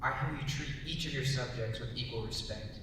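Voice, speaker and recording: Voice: medium pitch, fairly quick, natural voice. An actor playing an awkward, earnest young man of nineteen in a stage reading. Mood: awkward, polite. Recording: noisy echoey hall, far mic.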